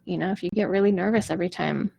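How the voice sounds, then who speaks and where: Gravelly-voiced female vocal coach. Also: lowish voice, racing, darkish tone, no room sound. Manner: casual, reassuring, talks straight to viewers. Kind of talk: live-streamed coaching chat.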